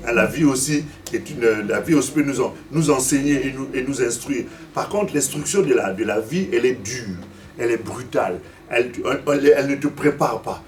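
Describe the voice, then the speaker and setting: A man's voice: mid-range voice, a little hiss or hum. A man in his fifties from Africa preaching a Sunday sermon, stumbling and repeating words as he searches for them and putting questions to the congregation. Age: 50s. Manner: asks the congregation direct questions. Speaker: pastor. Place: church service. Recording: distant mic with faint room ambience.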